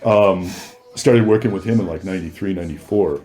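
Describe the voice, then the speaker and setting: A man's voice: low-pitched, brisk, warm tone. A man, telling stories casually as a podcast guest, informal and joking. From Minneapolis, USA. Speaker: podcast guest, DJ and personal trainer. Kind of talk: casual storytelling.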